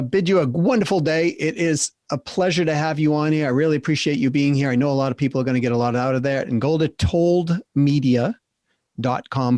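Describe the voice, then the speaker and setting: A man's voice: fairly high pitch, darkish tone, no echo. Man, Boston accent, middle-aged, upbeat podcast host, emphatic, dry recording. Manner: upbeat, emphatic, speeds up in bursts. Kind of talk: interview hosting and wrap-up.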